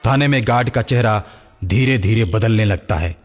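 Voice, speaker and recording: A man's voice: on the low side, normal speed. A man narrating a scripted story as its narrator. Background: music.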